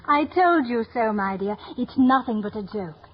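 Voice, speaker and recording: A woman's voice: a little high, animated, moderate pace, a little hiss or hum, lightly acted. An actress playing a genteel, dismissive Victorian mother in a 1950s radio play. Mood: prim. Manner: genteel, prim. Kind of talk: 1950s radio drama acting. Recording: dull lo-fi 1950s radio recording.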